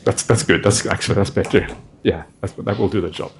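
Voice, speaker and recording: A Buddhist monk teacher, a man, giving an informal dharma talk to a live group in a meditation hall, relaxed and chatty and chuckling often. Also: low-pitched, a little fast, slight background noise.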